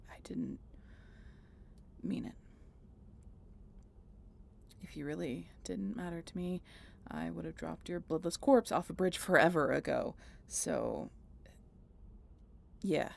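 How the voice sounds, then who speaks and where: A woman playing a guarded, sardonic century-old vampire in an intimate audio roleplay, halting and casually profane as she talks to the listener. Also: fairly low pitch, animated, creaky, slight background noise, heavily acted. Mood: awkward, reluctant.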